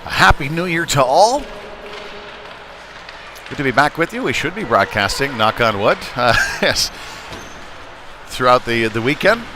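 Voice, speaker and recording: Male rinkside play-by-play commentator for youth hockey, mid-pitched, casual and halting, lifting with the play, noticeable arena noise. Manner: conversational, hesitant, rising excitedly on shots. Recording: noisy rink ambience behind voice.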